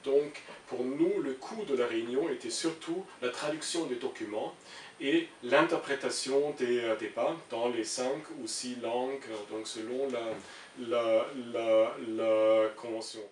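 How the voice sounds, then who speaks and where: A man's voice: mid-range voice, measured pace. A middle-aged man from Germany, a native German speaker with a German accent, answering interview questions as an international civil servant and often searching for his words. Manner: hesitant, searching for words with frequent repetitions. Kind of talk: interview answers, explaining an opinion. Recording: roomy, distant mic with faint hiss.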